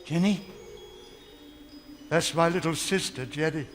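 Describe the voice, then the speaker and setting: An actor playing a wistful old miser looking back on his past in a musical radio drama, halting and subdued. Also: fairly high pitch, a little hiss or hum, natural voice. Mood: wistful, pained.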